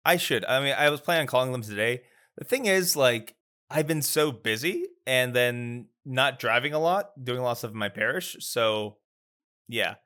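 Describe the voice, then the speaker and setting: Very bright-voiced easygoing priest. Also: male voice, mid-range voice, animated, moderate pace. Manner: easygoing storyteller, laughs along. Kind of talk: casual podcast chat.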